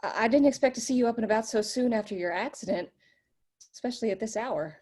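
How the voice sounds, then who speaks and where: A woman's voice: a little high, fast talker, darkish tone, lightly acted. A woman reading a curious, hesitant young widow of twenty-one who stammers when caught snooping. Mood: timid. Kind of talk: screenplay table read.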